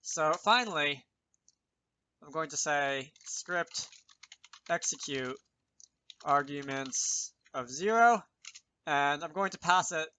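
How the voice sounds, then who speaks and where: A man's voice: fairly high pitch, sharp tone, clear, resonant tone. A man presenting an informal programming tutorial, thinking aloud with self-deprecating asides as he explains. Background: keyboard typing and mouse clicks.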